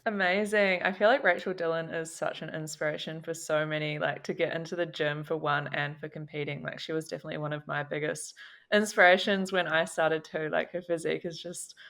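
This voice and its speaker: A woman's voice: sits low, steady tone, a little fast, vocal fry, a bit bright. A woman with a New Zealand accent hosting a casual podcast interview in an enthusiastic, supportive way. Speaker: podcast host.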